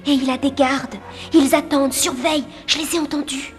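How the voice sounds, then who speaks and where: A woman voicing a gentle, sorrowful young spirit princess in a fairy-tale cartoon, wistful and melodramatic. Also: high-pitched, steady tone, normal speed, faint music behind, natural voice.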